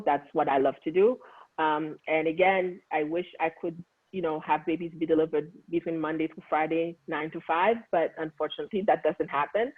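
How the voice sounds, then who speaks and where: A man from Haiti with a Haitian accent, an OB-GYN physician talking earnestly on a conference panel with many pauses and fillers. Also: fairly high pitch. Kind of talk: panel conversation. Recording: tinny phone-like line.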